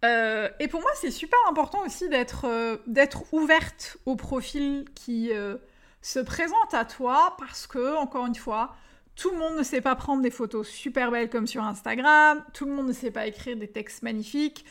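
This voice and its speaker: A woman's voice: sits high, normal speed, a bit bright. A woman in her thirties from France hosting a solo podcast as a dating coach, talking eagerly to the listener with many fillers and restarts. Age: 30s.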